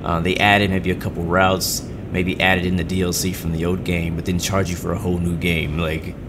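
A man rambling casually while playing a game, with lots of fillers and restarts. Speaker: gaming channel host. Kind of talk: casual gameplay commentary. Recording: game audio rumble behind.

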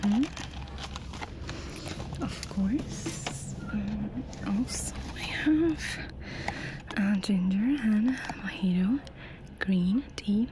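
A woman in her thirties whispers breathily and gently in a soft-spoken ASMR roleplay as an attentive train attendant. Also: medium pitch, drawn-out words, long pauses. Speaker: ASMR performer playing a first-class train attendant. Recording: steady ambient noise behind the voice. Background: clear ambient noise.

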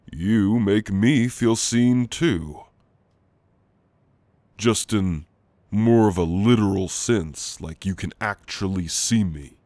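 A man playing a gentle, teasing sleep paralysis shadow demon in an intimate ASMR roleplay, speaking in a soft, halting, reassuring way. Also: fairly low pitch, dry recording. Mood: warm, musing. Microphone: close, dry microphone.

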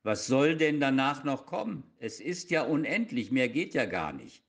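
A mid-pitched male schemer played by a man in a radio play, a husband who is pedantic and stubborn. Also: very animated, moderate pace, creaky. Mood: exasperated, insistent. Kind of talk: amateur audio drama acting.

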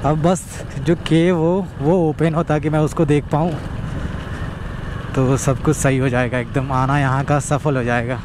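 Young guy chatting excitedly on a bike ride, voice a bit high, road noise all around. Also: a little slow. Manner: excited, chatty, talks to viewers. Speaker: travel vlogger. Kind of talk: casual running commentary while riding. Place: outdoors on a road, riding a motorbike. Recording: road and traffic noise behind.

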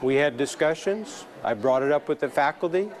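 A man's voice: fairly high pitch, lively intonation, normal speed. A man who is a university dean, giving an explanatory interview answer.

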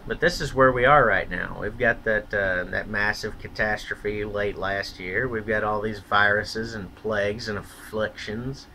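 A man's voice: lowish voice, steady tone, measured pace, few pauses, bright, forceful tone, a little hiss or hum. A man delivering a blunt, profane, contemptuous opinion rant as a solo vlogger.

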